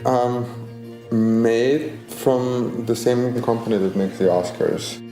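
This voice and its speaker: Relaxed Austrian man in an interview. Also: mid-range voice, takes it slowly, faint music behind, rough recording. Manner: relaxed, plain-spoken, with some hesitant fillers. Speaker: interview guest. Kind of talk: casual interview chat.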